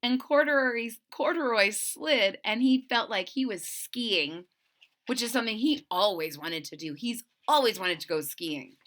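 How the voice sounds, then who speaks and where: A woman reading a storybook aloud for children and retelling it simply, in a deliberate, explanatory way. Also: fairly high pitch, lively intonation, fairly bright tone. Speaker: storybook reader.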